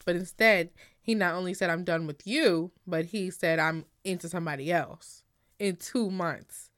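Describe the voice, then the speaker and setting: A woman's voice: on the low side, normal speed, a bit bright, no echo, clean studio sound. A woman from Guyana co-hosting a podcast, gossiping casually with slang and acted-out quotes. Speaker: podcast co-host.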